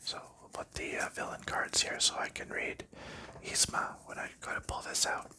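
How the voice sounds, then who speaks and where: A man doing ASMR, whispering through rambling, unhurried chat with soft laughs while he sorts board game pieces. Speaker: ASMR creator.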